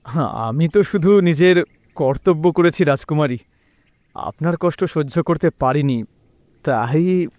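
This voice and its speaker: A man playing a loyal, brave and devoted army commander in an animated fairy tale. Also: on the high side, measured pace, pauses often, lightly acted. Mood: devoted, earnest.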